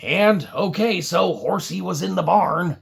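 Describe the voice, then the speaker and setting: A woman with a husky, gravelly voice improvising a children's puppet story, chatting casually to viewers in a mock-weary way. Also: on the low side, unhurried.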